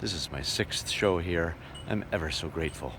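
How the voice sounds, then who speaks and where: Low-voiced man narrating a travel vlog. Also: normal speed, a bit bright, a little hiss or hum. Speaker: touring musician and vlogger.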